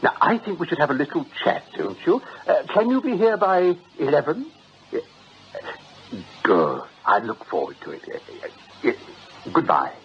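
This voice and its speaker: An actor playing a jovial, wily British swindlers' ringleader in a radio drama, with an ironic, chuckling, avuncular way of talking. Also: male voice, fairly high pitch, big pitch swings, normal speed. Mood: smooth, courteous. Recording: dull radio recording, faint noise.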